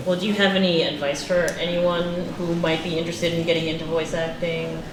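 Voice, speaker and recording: A woman's voice: fairly low pitch, little pitch movement, a little fast. A woman hosting a casual live interview, asking questions in a tentative way and often rephrasing them. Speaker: interviewer, host. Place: echoey event room. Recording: echoey room, distant mic, noisy background.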